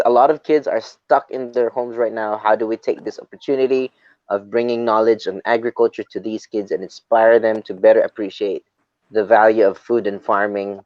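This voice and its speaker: A man hosts a webinar with a relaxed, friendly ease, reading out audience questions. The sound is dry and dull, like compressed call audio. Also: medium pitch, dry recording. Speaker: moderator. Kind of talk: webinar moderation. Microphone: video call.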